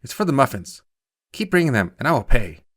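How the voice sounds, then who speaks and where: A man's voice: mid-range voice, sing-song, brisk, fairly bright tone, no room sound, pitched above the performer's own voice. A man voicing a reclusive, wary middle-aged woman with a silky, guarded, terse way of speaking in a short story. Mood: guarded, softening.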